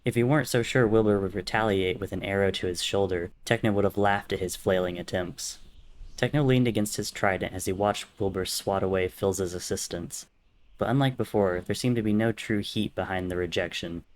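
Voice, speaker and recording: A man's voice: fairly low pitch, fairly quick. A man reading fiction as a dramatic, scripted narrator. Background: soft music or ambience.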